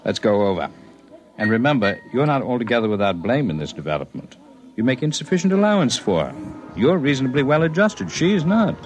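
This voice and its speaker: An actor playing a gentle, wistful alien visitor who speaks in formal, measured phrases in a 1950s radio drama. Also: male voice, medium pitch, big pitch swings, faint music behind, close to an everyday voice. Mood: advising. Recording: noisy vintage radio broadcast recording.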